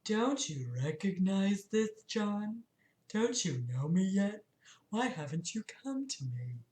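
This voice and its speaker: A woman voicing a mysterious cloaked male deity from a story, soft, soothing and sinister. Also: low-pitched, sing-song, slow pace, voice pushed down, heavily acted. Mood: soothing, coaxing. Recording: echoey room.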